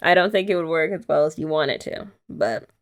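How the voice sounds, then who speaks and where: A woman's voice: low voice, a little fast. A young woman chatting playfully and teasingly in a girlfriend roleplay ASMR. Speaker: ASMR creator.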